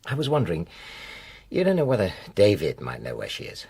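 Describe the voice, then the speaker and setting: A British actor playing an anxious middle-aged father in a radio drama, earnest and hesitant, with a husky voice. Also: sits low, huge pitch swings, a little fast, darkish tone, exaggerated voice. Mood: weary, worried. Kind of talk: naturalistic radio drama acting.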